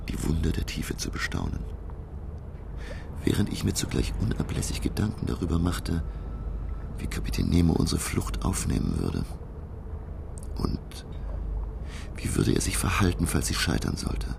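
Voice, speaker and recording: Radio play lead: pensive professor-narrator, extremely deep creaky voice, expressive melody, measured literary delivery. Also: male voice, background noise, exaggerated voice. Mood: pensive, anxious. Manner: reflective, measured storytelling. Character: pensive, uneasy male professor narrating his undersea captivity. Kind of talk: German radio drama, literary first-person narration.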